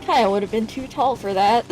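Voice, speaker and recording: Female game streamer, casual and exclamatory, lively high pitch, unhurried delivery. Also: poor audio. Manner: excitable, exclaiming, swearing, talks to herself. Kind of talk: casual gameplay commentary.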